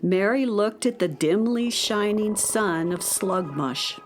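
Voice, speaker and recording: A woman's voice: mid-range voice, slow, soft background music. A woman narrating a scripted children's story as the narrator of an audio drama.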